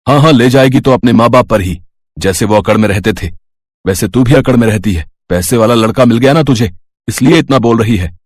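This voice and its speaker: An actor playing a resentful, arrogant middle-aged uncle in a moral story, with a husky voice. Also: male voice, mid-range voice, brisk, no echo, close to an everyday voice. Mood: taunting.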